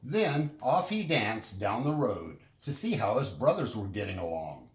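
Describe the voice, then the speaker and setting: Slow, animated man reading a storybook. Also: on the low side, echoey room. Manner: expressive, deliberate storytelling. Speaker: storybook reader. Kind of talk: animated children's story narration.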